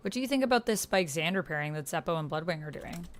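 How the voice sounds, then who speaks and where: A woman with a fairly low voice hosts a casual game livestream. She talks informally to her viewers and thinks aloud as she goes. Computer keys clack behind her. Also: lively intonation, fast. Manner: chatty, informal, thinking aloud while typing. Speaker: streamer hosting a solo actual-play roleplaying game. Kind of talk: casual livestream chat, reading out typed roleplay. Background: computer keyboard typing.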